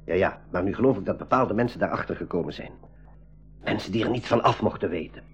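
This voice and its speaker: An actor in a radio play playing a protective, secretive watchman who speaks in a measured, guarded way. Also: on the low side, lightly acted. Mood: grave. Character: secretive, protective male watchman, calm and wary. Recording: dull 1980s radio play, faint music.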